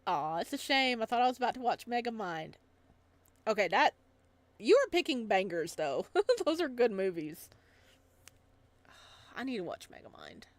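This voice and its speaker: A woman's voice: a little high, very animated, moderate pace, pauses often, no echo. A woman streaming a visual novel, reading narration aloud and reacting casually in a giggly, gushing way.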